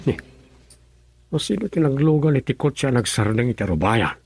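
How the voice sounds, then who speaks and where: A struggling husband in a radio drama, earnest and bitter about being poor. His voice sits at an ordinary middle pitch. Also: lively intonation, a little fast, vocal fry, a little mellow, husky. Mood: puzzled. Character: bitter grown husband, poor and wanting riches.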